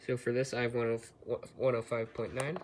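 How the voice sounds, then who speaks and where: A man giving a casual, somewhat halting hands-on product demonstration, explaining step by step. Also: mid-range voice, flat delivery, slight background noise. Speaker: hobbyist product reviewer.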